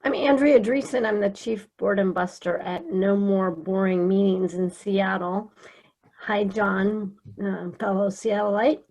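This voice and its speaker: A woman's voice: medium pitch, steady tone, unhurried, darkish tone, poor audio. A woman presenter asking a poised, articulate question on a video call. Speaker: meeting presenter.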